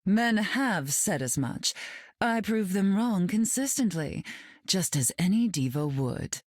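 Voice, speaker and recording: A woman's voice: medium pitch, animated, slow, a little mellow, dry recording, clean studio sound. An actress playing a glamorous, cutting mutant queen in a video game, arch, flirtatious and condescending in manner. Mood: flirtatious, smug.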